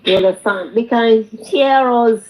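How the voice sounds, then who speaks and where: Slow-talking Nigerian woman, halting delivery. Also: a little high, darkish tone, low-quality recording. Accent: Nigerian Yoruba-language. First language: Yoruba. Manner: hesitant, halting, often says "you know". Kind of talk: casual chat.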